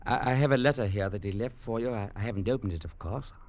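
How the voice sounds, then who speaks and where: An actor playing a grave young English legionnaire, narrating in an earnest, measured, formal way in 1940s radio drama style. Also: male voice, on the low side, lightly acted. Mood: subdued, solemn. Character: grave young English legionnaire recounting his brothers' tragedy. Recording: muffled 1940s radio recording with music.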